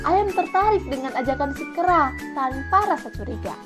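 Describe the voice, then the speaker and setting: A woman narrating a scripted children's fable as an expressive storyteller. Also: sits high, normal speed. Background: children's background music.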